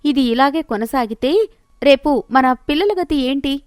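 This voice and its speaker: A woman's voice: high voice, expressive, unhurried, no room sound, clean studio sound, voice pushed up. A woman voicing a greedy, haughty wife who nags and bosses scornfully in a moral story. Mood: scheming, nagging. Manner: scornful, bossy, nagging. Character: haughty, scheming, greedy wife of an elder brother.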